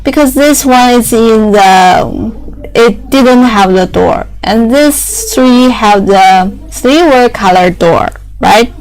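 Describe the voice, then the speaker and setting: A young woman whose first language is Chinese, explaining factory machinery in halting English with many fillers and restarts. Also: on the high side, a little mellow, rough recording.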